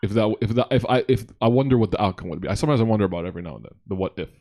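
A man's voice: fairly low pitch, rapid-fire, warm tone. A man hosting an online interview show, talking in a casual rambling way with frequent restarts, over a video call. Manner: rambling, repeats himself, friendly. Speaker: podcast host.

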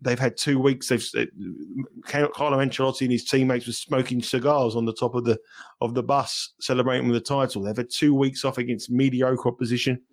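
London-accented male betting analyst, chatty and animated, mid-range voice. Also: medium pitch, a little fast. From England. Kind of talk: podcast interview.

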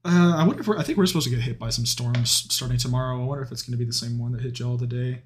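A man in his early twenties with a mid-range voice co-hosts a podcast. He talks with animated energy, laughs often and swears casually. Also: medium pitch, racing. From Texas. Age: 20s. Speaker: podcast co-host. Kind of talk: casual chat.

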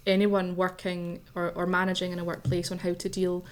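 Woman, podcast co-host, thoughtful, measured, low pitch, flat delivery. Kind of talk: interview questions.

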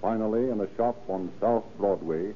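An actor narrating a 1930s radio police drama in a measured, dramatic, solemn way. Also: male voice, lowish voice, slight background noise, close to an everyday voice. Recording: muffled old radio recording, music behind.

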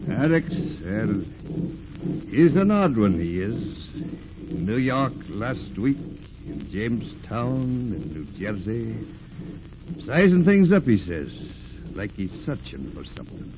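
An actor playing a blustery, defiant ship's skipper in an old radio drama, gruff and emphatic in his delivery. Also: on the low side, sing-song, slow. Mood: exasperated. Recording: noisy old radio recording, tinny.

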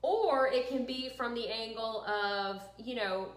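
A middle-aged woman hosting a casual talk show, chatty and quick with asides, laughing often. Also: fairly high pitch. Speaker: host. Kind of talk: casual chat. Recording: echoey room.

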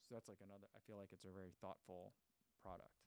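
A man's voice: low voice, level tone, fast, a little mellow, clean tone. A man hosting a running channel, casually chatting and reading viewer comments aloud, rambling with lots of fillers and restarts. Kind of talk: casual livestream chat.

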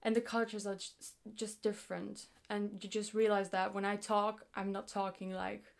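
A woman's voice: mid-range voice, little pitch movement, gravelly. Young woman chatting as a guest. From Greece. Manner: informal, halting and explanatory, many repeats of "like". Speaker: podcast guest. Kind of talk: casual interview chat.

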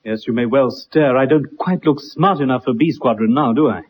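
An actor playing a bitter, haunted young ex-soldier in a dramatic 1960s radio play. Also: male voice, mid-range voice, normal speed, a little hiss or hum, close to an everyday voice. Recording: muffled, dull old radio recording.

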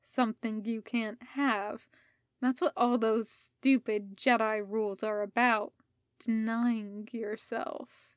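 A woman voicing a scheming male Sith Lord villain who speaks low, calm and persuasive. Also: slow, dry recording, studio quality. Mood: musing.

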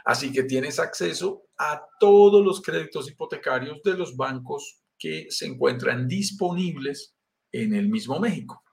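A man's voice: on the high side, lively intonation, unhurried, gravelly, darkish tone. Colombian man presenting a live webinar. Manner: explanatory, addresses viewer directly, frequent "eh" fillers. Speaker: real estate investment presenter.

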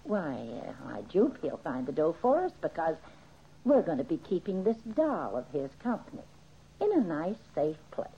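Female gang boss, American, slangy and menacing, husky delivery, old radio crime drama, dull vintage sound. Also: deep voice, huge pitch swings, normal speed, mellow, full character voice. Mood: sly threat. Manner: tough, slangy talk. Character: ruthless female gang boss, sneering and threatening. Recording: muffled old radio recording.

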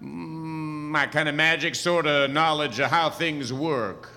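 A man's voice: on the high side, steady tone, slow pace, pushed and bright, heavily acted. A man playing a wry, easygoing old wizard with folksy, hesitant asides in a live audio theatre comedy. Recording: hall reverb of live stage performance.

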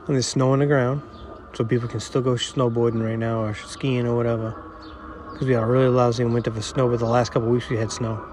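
A man's voice: mid-range voice, flat delivery, brisk, slight background noise. Rambling nasal middle-aged man. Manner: rambling, self-deprecating; quiet, not projecting. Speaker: podcast host. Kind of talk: casual solo monologue. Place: outdoors.

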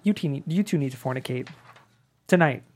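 A man's voice: a little high, a little fast, a little mellow, natural voice. A man playing a wry, tender dying man. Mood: insistent. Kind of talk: dramatic table read of a screenplay.

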